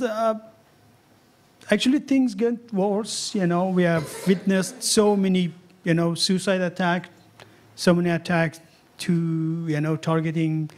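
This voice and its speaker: A man in his thirties from Afghanistan, speaking English as a with many pauses and fillers, interviewed on stage about his cartoons. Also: high voice. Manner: hesitant non-native English with many fillers. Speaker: cartoonist guest. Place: event hall on stage. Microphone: handheld mic held loosely.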